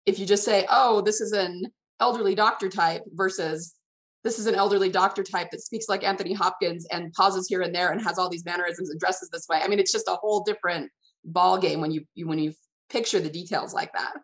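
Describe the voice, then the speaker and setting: A woman's voice: lowish voice, fairly even intonation, fairly quick, poor audio. A woman hosting an interview, chatting casually about the craft of writing. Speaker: podcast host, interviewer.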